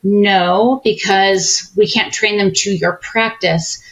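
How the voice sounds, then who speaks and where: A woman's voice: mid-range voice, fairly even intonation, takes it slowly, rough recording. A woman consultant as a podcast guest, explaining things in a friendly, coach-like way. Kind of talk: casual interview chat.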